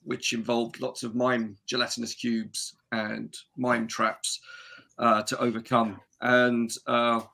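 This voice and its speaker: Man playing a chosen mime hero, middle pitch, gravelly edge, deadpan, halting, solemn, tabletop roleplay. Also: exaggerated voice. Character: solemnly absurd mime adventurer, the chosen one.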